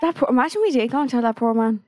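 A young woman co-hosting a casual podcast, teasing and quick to laugh. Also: on the high side, lively intonation, a little mellow, dry recording, studio quality. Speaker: podcast co-host. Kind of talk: casual chat.

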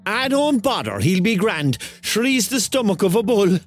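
An excitable Cork man in his thirties, narrating a radio drama in a rambling, chatty way. His voice sits a little high and swings widely in pitch, with music underneath. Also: normal speed. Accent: Cork Irish. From Cork, Ireland. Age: 30s. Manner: colloquial, digressive, conversational. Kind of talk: first-person radio drama narration and dialogue.

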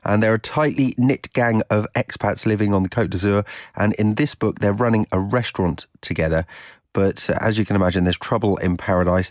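British male presenter, lower register, chatty book-review delivery, very dry studio sound. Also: a little mellow, no room sound. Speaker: radio presenter recommending a book.

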